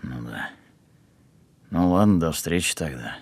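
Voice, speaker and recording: Audio drama: hardened male criminal on the run, extremely low, rough-edged voice, gruff slang, bitter bravado. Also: animated, unhurried, darkish tone, no room sound, full character voice. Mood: weary, softer. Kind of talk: audio film acting.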